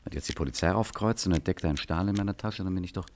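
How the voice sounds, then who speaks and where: A very low-voiced gentleman burglar, cocky and unflappable even as he grumbles. He lectures wryly in theatrical old radio-play style. The old recording has clear noise behind him. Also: racing. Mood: lecturing. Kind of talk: 1950s German radio comedy acting.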